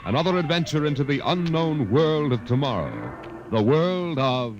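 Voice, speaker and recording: A man's voice: on the high side, sing-song, moderate pace. A man narrating a preview in dramatic 1950s radio announcing style. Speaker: program announcer. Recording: muffled old radio recording, music behind.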